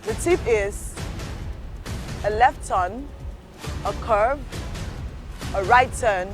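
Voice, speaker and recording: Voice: medium pitch, extremely slow. Upbeat show host over music. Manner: upbeat, emphatic announcer. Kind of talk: scripted presenting.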